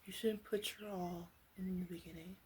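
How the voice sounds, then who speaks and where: A woman playing a caring, teasing witch in a soft ASMR roleplay voice that slips into whispers. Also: fairly low pitch, steady tone, a little hiss or hum, lightly acted, rough recording. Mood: tender, consoling. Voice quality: soft, breathy, slipping into whisper. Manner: gentle, unhurried, playfully teasing. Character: female witch, flirty, caring, teasing potion seller.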